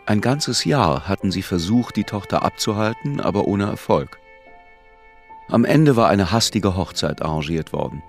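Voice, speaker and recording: A man narrating a literary radio drama in a measured, sober manner. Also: lowish voice, lively intonation, vocal fry, soft background music. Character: measured, literary narrator of a small-town tale.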